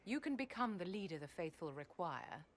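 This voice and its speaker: An actress playing a poised, steely court adviser in a video game, speaking in a formal, measured, imperious manner. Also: female voice, on the low side, sing-song, slight background noise. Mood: firm, urging. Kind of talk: video game character acting.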